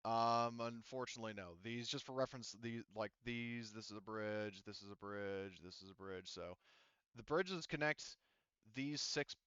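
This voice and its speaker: A man's voice: fairly low pitch, normal speed, a bit bright, smooth, no room sound. A man running an online tabletop game as game master, narrating in a rambling way and often losing his thread. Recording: dull, muffled audio.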